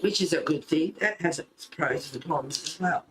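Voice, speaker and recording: Guy with a highish voice rambling casually while colouring, thinking out loud, bit of background noise. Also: moderate pace, echoey room. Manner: informal, rambling, thinking aloud. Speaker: host of a colouring channel.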